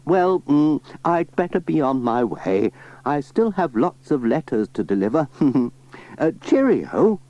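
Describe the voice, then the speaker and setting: Man as a lively, cheerful village postman. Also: fairly high pitch, measured pace, pitched above the performer's own voice. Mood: cheerful, patient. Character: friendly village postman, cheerful, patient, helpful. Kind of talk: children's storybook cassette reading. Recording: slightly dull cassette, faint music.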